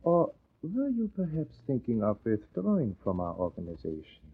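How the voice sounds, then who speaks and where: A man's voice: mid-range voice, wildly swooping pitch, normal speed, husky, full character voice. A man playing a menacing wartime German spy ringleader in a 1940s radio drama, formal, clipped and imperious, cold and mocking. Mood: stern, threatening. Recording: muffled, narrow-band old radio recording.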